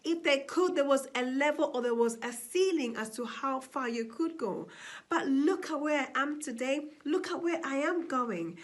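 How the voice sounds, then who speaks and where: A woman's voice: high-pitched, moderate pace. A woman from Zambia giving a motivational address, emphatic and asking rhetorical questions she answers herself. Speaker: summit speaker.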